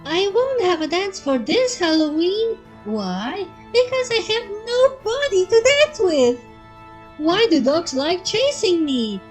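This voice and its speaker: A hyper, mischievous girl pony in a cartoon fan story. The voice is extremely high and very animated, excitable and squealing, with music behind it. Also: slow pace, heavily acted. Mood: playful. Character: hyper, giggly, mischievous young female party pony.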